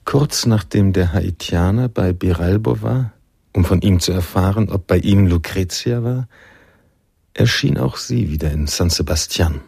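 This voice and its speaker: An actor playing a reflective, sombre noir narrator in a radio drama, telling the story in measured, literary sentences with a gravelly voice. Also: male voice, low-pitched, darkish tone, dry recording, studio quality, full character voice.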